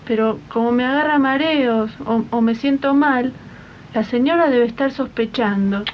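A woman's voice: normal speed, slight background noise, lightly acted. A woman playing a timid, worried young maid in a 1980s community radio drama, meek and hesitant, with an Argentine accent. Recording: muffled, rumbly 1980s archive radio recording.

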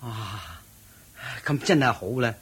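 An actor playing an eager, admiring young lay disciple, deferential and naive, speaking Hong Kong Cantonese in a radio drama. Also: male voice, fairly low pitch, animated, takes it slowly, fairly bright tone, slightly rough, heavily acted. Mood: curious, respectful.

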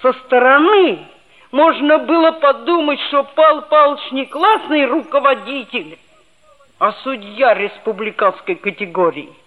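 A touchy, self-important schoolboy narrates a vintage radio play. The voice is very high and slow, earnest and expressive. The old recording sounds dull and muffled. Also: female voice. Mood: aggrieved, earnest. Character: boastful, touchy seventh-grade schoolboy telling his story. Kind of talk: 1960s Soviet radio play narration and acting.

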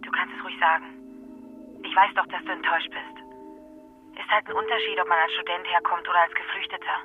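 Worried caring woman on a phone line, talks fast, radio play, faint music. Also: lowish voice, steady tone. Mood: gentle, probing. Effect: telephone filter. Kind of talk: naturalistic German radio drama acting.

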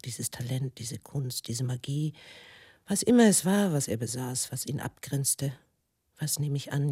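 A woman playing an embittered, wounded, educated wife in a radio drama monologue, husky and brooding, in deliberate, halting phrases. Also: deep voice, mellow, dry recording, studio quality, full character voice. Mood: baffled, brooding. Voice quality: husky, gravelly.

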